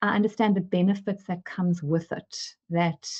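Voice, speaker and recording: Lady advisor on a webinar call, lowish voice, lots of ums and restarts, muffled lo-fi sound. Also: fairly even intonation, normal speed, darkish tone. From South Africa. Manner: hesitant, many fillers and repeated words. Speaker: managing director at an advisory firm. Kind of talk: webinar discussion.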